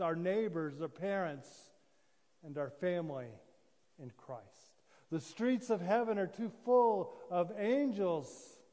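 A man in his sixties preaching a sermon as a pastor, solemn and deliberate, with pauses and repetition. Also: a little high, a little mellow. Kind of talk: sermon, measured oratory. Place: church sanctuary, indoors at a lectern. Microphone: gooseneck lectern microphone. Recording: reverberant church hall sound.

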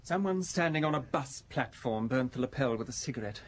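Actor as prim, fastidious man, husky voice. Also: medium pitch, lively intonation, lightly acted. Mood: polite, fussy. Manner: polite, precise, prim. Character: fastidious, precise man in his thirties, murder suspect. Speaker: actor in radio drama. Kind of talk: radio drama acting.

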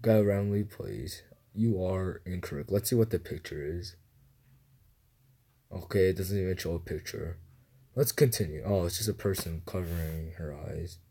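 A young man reading guessing-game questions aloud and answering them in a low-key, mumbled way, recorded on a phone. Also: moderate pace, warm tone, slightly rough. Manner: mumbled, low-key answers, livelier when starting a new round. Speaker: gamer playing a guessing game. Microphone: phone screen recorder.

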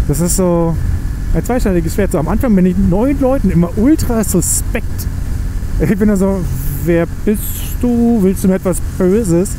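A young man, chatting casually and rambling as he rides his motorcycle through the city. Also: a little high. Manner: rambling, chatty and self-mocking. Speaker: motovlogger. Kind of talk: casual chat while riding. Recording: faint engine and road noise.

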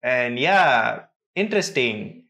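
A man's voice: mid-range voice, animated, takes it slowly, bright, forceful tone. A man hosting a reaction video, commenting casually in a hesitant, trailing way.

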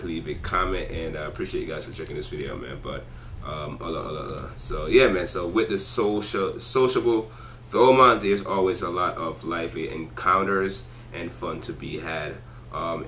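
A man's voice: low-pitched, pushed and bright, a little hiss or hum. A young man giving casual, slangy reaction commentary as a video host. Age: young adult. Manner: slangy, says "man" often. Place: small room indoors.

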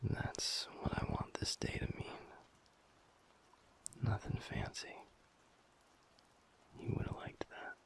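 A man playing a tender, teasing alpha werewolf boyfriend in an intimate audio roleplay, murmuring softly in a breathy, gravelly whisper with pauses. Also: natural voice. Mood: tender, wistful. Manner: slow, soft, pausing, murmured.

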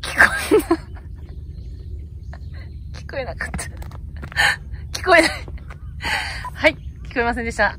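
A woman chatting casually and excitedly outdoors, prompting a companion. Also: a little high, normal speed, long pauses, slight background noise. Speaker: off-camera companion prompting the operator. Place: outdoors on a hillside roadside.

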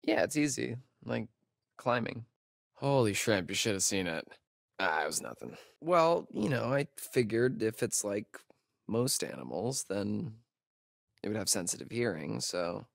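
A man's voice: fairly low pitch, big pitch swings, a little slow, vocal fry, no room sound, clean studio sound, exaggerated voice. Hesitant young American guy, geeky camp counselor. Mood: wry, modest. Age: young adult. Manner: hesitant, rambling, stammering. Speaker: video game character actor. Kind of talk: naturalistic video game dialogue.

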